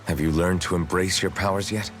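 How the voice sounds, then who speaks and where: Very deep-voiced brooding young hero. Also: male voice, steady tone, a little fast, a bit bright, soft background music. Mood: sympathetic. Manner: somber, hesitant, self-doubting. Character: brooding, guilt-ridden young male fantasy hero. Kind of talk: dramatic video game voice acting.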